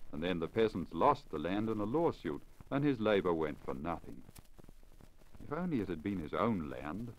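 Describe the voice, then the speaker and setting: A man reading a literary story in a measured, formal, expressive manner, in the style of 1950 radio narration. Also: low-pitched. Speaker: story reader. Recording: crackly, dull 1950 lacquer disc recording.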